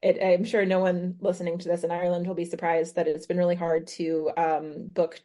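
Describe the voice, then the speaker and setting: A woman's voice: lowish voice, flat delivery, a little fast, warm tone. An American woman in her thirties, a guest on a podcast, talking thoughtfully with frequent restarts. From United States. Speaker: guest, author and retreat host. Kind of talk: casual podcast interview. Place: her home in rural Ireland.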